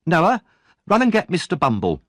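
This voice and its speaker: A man voicing a fretful middle-aged woman shopkeeper, in a high, shrill voice, in a storybook reading. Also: huge pitch swings, normal speed, fairly bright tone, no echo, studio quality, full character voice. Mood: alarmed.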